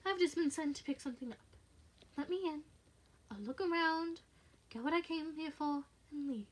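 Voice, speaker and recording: A woman's voice: sits high, moderate pace, voice pushed up, natural voice. A woman voicing a menacing, irritable male henchman who bullies and taunts in an audiobook story. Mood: teasing menace. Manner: snapping, threatening, taunting.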